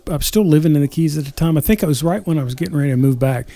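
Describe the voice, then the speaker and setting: A man's voice: a little high, very fast, creaky, dark tone. A middle-aged man telling a personal mishap in casual chat, plainspoken and exasperated.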